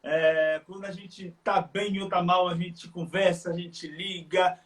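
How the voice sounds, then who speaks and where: A man from Salvador with a Bahian accent, speaking earnestly and haltingly in a casual chat, with many fillers. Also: high-pitched, level tone. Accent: Bahian Brazilian Portuguese. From Salvador, Bahia, Brazil. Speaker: live stream guest.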